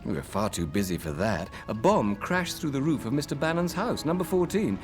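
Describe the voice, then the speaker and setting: A man's voice: medium pitch, big pitch swings, fairly quick, music in the background, lightly acted. An actor playing a brave, self-deprecating young wartime man with dry understatement in a radio drama. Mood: shaken.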